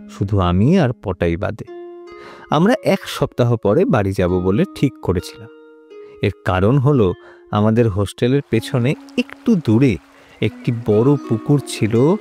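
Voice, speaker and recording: An actor playing a kindly retired grandfather who tells stories of his past in an indulgent, unhurried manner for an animated series. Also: mid-range voice, close to an everyday voice. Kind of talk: animated audio story narration. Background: background music.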